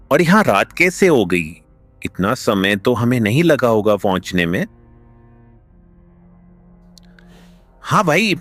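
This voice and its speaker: A man playing an earnest, expressive village farmer in a cartoon story. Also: medium pitch. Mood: puzzled. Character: two earnest village farmer friends, adult men. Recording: music behind the voice.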